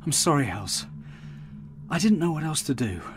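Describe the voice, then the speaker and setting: An actor playing a sorrowful, resigned man, subdued and halting, in an audio drama. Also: a little high, sing-song, slight background noise. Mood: sad, resigned. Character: sorrowful, resigned man speaking to a loved one.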